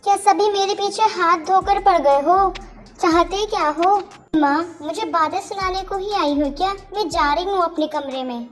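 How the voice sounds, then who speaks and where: A woman's voice: very high voice, normal speed, faint music behind. A woman voicing an insolent, rebellious young married daughter in a cartoon story, snapping defiantly and mixing in English. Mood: irritated.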